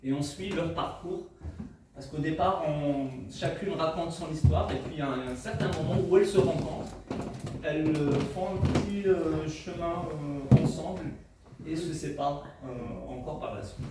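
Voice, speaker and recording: A man's voice: fairly high pitch, normal speed. A man whose first language is Arabic presents his book, speaking unscripted and hesitantly, with many fillers and restarts. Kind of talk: unscripted book talk to an audience. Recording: echoey room, mic at a distance, faint noise.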